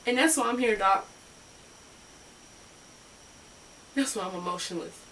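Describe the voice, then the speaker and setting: Woman acting a bitter, broken woman, sharp voice. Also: fairly high pitch, recorded from a distance, slight background noise, rough recording. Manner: confrontational, raw, sarcastic. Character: Carolyn, a broken, bitter, guarded woman in therapy. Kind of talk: dramatic monologue.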